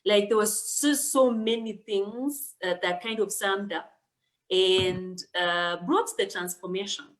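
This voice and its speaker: A young woman from Kenya, a guest author, answering questions thoughtfully with frequent pauses and fillers. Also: medium pitch, fairly even intonation, poor audio. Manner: thoughtful, many fillers and restarts. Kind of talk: casual interview answer.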